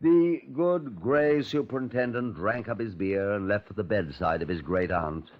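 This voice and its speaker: An actor playing a courteous, wry Scotland Yard inspector, narrating his case in a measured radio crime drama style. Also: lowish voice, lightly acted. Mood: brooding, deliberate. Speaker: detective narrator. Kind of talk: 1950s radio crime drama, first-person narration and dialogue. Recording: dull, muffled 1950s radio recording.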